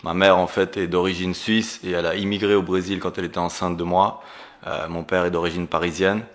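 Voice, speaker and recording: Deep, flat-voiced man. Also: fairly quick. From Geneva, raised in Brazil. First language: Portuguese. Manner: hesitant, many fillers, earnest. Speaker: guest, entrepreneur and coach. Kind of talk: casual interview storytelling.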